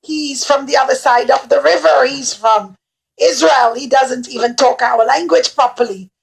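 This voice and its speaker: A woman's voice: high-pitched, normal speed, creaky, husky, full character voice. A woman voicing a scornful, self-serving male butler in a children's puppet show, dismissive and scheming. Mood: eager, informing.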